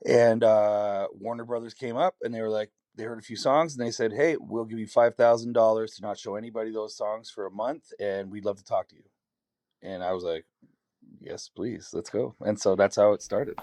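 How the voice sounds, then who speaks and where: Raspy canadian guy, lowish voice, rambles and restarts a lot, dry close sound. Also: steady tone, normal speed, darkish tone, no echo. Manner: candid, rambling storytelling with many restarts. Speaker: singer-songwriter guest. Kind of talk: podcast conversation.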